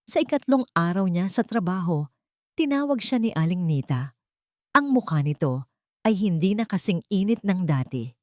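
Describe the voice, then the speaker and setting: A woman's voice: lowish voice, expressive, normal speed, dry recording, studio quality. A woman with a Filipino accent reading a dramatic scripted story as narrator.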